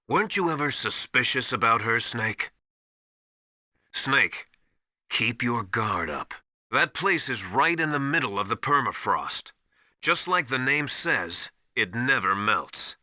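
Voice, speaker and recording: Video game military advisor: male, authoritative teacher type, piercingly bright, dry close sound, urgent and emphatic. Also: mid-range voice, lively intonation, a little slow, no room sound. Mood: grave, cautioning. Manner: didactic, urgent, emphatic instruction. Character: knowledgeable, commanding male military survival mentor called Master. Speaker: radio support advisor.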